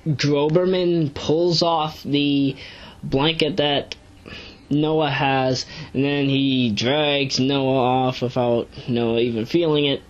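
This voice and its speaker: A woman giving casual, unscripted commentary as an online reviewer, rambling and halting as she corrects herself. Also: extremely low pitch, steady tone, lo-fi sound. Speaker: online video reviewer and narrator. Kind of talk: casual unscripted commentary recapping a web series.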